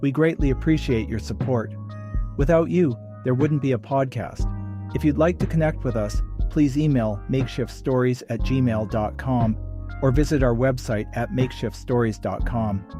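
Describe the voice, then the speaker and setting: A man's voice: mid-range voice, moderate pace. A man reading a scripted podcast sign-off and credits as the host. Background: guitar music.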